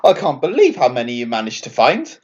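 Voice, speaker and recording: A man voicing a kindly, brisk young female witch in a children's story, earnest and encouraging. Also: medium pitch, huge pitch swings, darkish tone, muffled recording.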